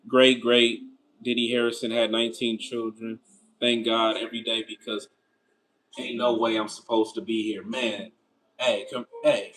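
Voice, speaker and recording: Man, 30s, livestream host: casual and slangy, reads chat, voice jumps in pitch. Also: rough recording. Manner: loose and slangy, with rhetorical why-questions and quick pitch jumps. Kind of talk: casual livestream chat, reading viewer comments.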